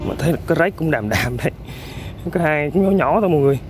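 A man with a Vietnamese accent hosting an outdoor eel-fishing vlog by a riverbank. He gives running commentary to viewers while he works, with excited exclamations. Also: on the high side, racing, a little hiss or hum. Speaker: eel-fishing channel host.

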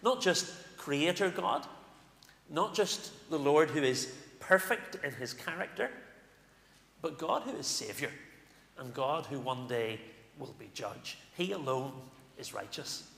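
A middle-aged man, speaking as a minister at a lectern in a large church, giving announcements and a call to worship with pauses and fillers. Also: on the high side, expressive, vocal fry. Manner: warm pulpit delivery with pauses and fillers. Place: large church sanctuary, indoors. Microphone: stand microphone at a lectern. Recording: echoey church hall from a distance.

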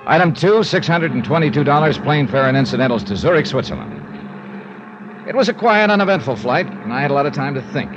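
A man's voice: on the high side, big pitch swings, fast talker, noisy background. An actor playing a wry, confident insurance investigator in a 1950s radio drama, wisecracking and clipped as he acts and narrates. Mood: dry, narrating. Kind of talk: 1950s radio detective acting with first-person narration. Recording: muffled vintage 1950s radio recording, organ music.

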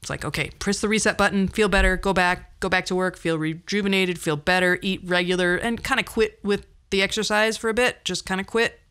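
A woman's voice: medium pitch, fairly even intonation, a little fast, few pauses, a bit bright, clear, resonant tone. A woman in her fifties hosting a podcast, telling personal stories in a conversational, wry, self-deprecating way. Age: 50s. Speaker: podcast host. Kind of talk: personal storytelling monologue.

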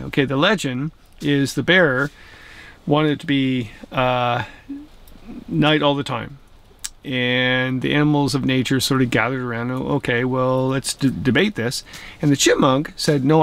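A middle-aged man telling a rambling bedtime story in a tent and talking about a hiking trail to a handheld camera. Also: mid-range voice. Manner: rambling, chuckling, animated storyteller. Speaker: camping vlogger. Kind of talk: casual storytelling and trail commentary. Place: inside a tent at night, then outdoors on a trail. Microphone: handheld camera at arm's length.

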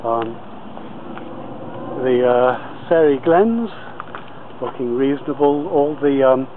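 A man giving an informal video tour commentary outdoors as a gardener, pausing often mid-sentence. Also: medium pitch. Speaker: allotment gardener filming a tour. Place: outdoors on an allotment. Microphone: handheld camera held by the speaker. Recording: muffled lo-fi camera audio, outdoor noise.

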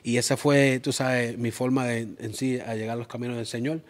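Thirties man, Puerto Rican accent, hesitant and soft-spoken, guest telling his life story. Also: medium pitch, steady tone, a little fast. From Springfield, Massachusetts, of Puerto Rican parents. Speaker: guest, music producer. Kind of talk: personal storytelling in interview. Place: purple-lit indoor studio set.

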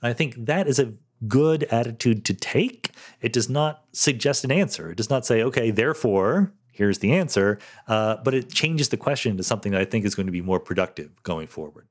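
A man's voice: mid-range voice, lively intonation, brisk, hardly any pauses. A middle-aged man hosting a solo podcast, answering listener questions candidly with fillers like "um" and "you know". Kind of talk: solo podcast Q&A, thinking aloud.

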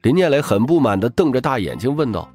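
A man's voice: medium pitch, sing-song, fast talker, a little mellow, breathy edge, soft background music. A man narrating a story as an audiobook reader in a dramatic scripted style.

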